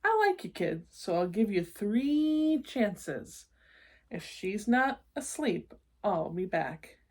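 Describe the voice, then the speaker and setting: A woman reads as a cocky male monster from a children's storybook. He is gruff and brash, snorting and dismissive, with a grudging fondness underneath. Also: medium pitch, lively intonation, slow pace, darkish tone, full character voice. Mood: scoffing. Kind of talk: children's storybook read-aloud.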